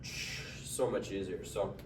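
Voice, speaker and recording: A man's voice: deep voice, takes it slowly, noisy background. A young man with a stuffy nose giving casual workout tips in a gym, sometimes tripping over his words. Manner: trips over words, strains between sets. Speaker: fitness vlogger. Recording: echoey gym from far off.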